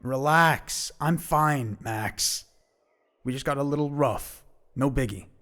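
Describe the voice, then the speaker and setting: A man's voice: mid-range voice, takes it slowly, fairly bright tone. A man playing a tough, irritable teenage boy lynx in an audio drama, dismissive and foul-mouthed but secretly caring. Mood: brushing it off.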